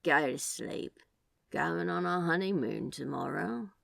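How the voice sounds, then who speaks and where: Lady doing a laid back flirty dude, teasing n clipped, lowish voice, romance story. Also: expressive, measured pace, creaky, fairly bright tone, no room sound, studio quality, heavily acted. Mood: sleepy, fond.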